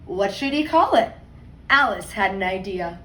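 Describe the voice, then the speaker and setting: A woman teacher reading a picture book aloud to young children, expressively and deliberately, stressing words and adding conversational asides. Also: mid-range voice, lively intonation, fairly bright tone. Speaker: second grade teacher. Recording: roomy echo, distant mic, faint noise.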